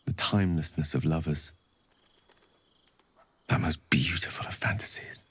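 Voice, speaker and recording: An actor playing an eloquent, melancholy wizard in a fantasy game, speaking in an intimate, poetic and measured way. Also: male voice, extremely low pitch, a bit bright, breathy edge, no echo, exaggerated voice. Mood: wistful, romantic. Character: romantic eloquent wizard man, wistful and melancholy. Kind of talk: fantasy video game dialogue acting.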